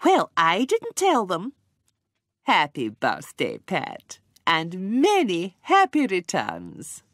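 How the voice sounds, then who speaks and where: A woman's voice: on the low side, huge pitch swings, takes it slowly, no room sound, studio quality, exaggerated voice. Woman voicing a swooping, cheerful village postmistress. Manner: warm, exclaiming, sing-song. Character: Missus Goggins, pleased, kindly village postmistress. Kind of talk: children's storybook character voice.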